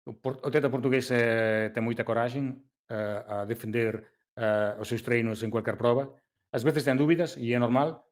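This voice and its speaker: A man from Galicia with a Galician accent, an event organizer talking casually, mixing Galician and Portuguese, laughing often and pausing on fillers. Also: mid-range voice. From Galicia, Spain. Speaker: race organizer. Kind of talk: casual podcast chat.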